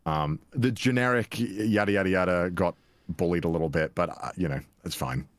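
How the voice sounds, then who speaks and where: Deep-voiced young Welsh man, dry sound. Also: a little fast, no echo. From Wales. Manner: chatty, self-deprecating, frequent restarts and laughs. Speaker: guest. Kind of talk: casual interview chat.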